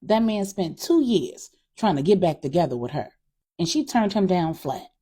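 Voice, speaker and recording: A woman telling a serial story in an expressive, dramatic narrating style. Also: fairly low pitch, normal speed, breathy edge. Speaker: storyteller reading serial fiction.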